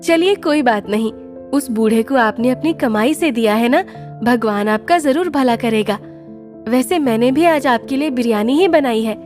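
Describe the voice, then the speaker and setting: Woman playing a high-voiced, devoted village wife. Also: expressive, normal speed, darkish tone, faint music behind, lightly acted. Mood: gentle. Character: devoted, anxious, honest young village wife.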